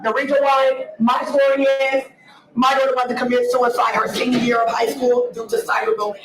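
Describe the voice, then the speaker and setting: Raspy high-voiced woman presenting in a hall. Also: flat delivery, brisk. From Ohio. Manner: earnest, emphatic presenting. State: raspy voice from an early start. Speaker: nonprofit founder presenting. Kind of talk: conference presentation. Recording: echoey hall, distant mic.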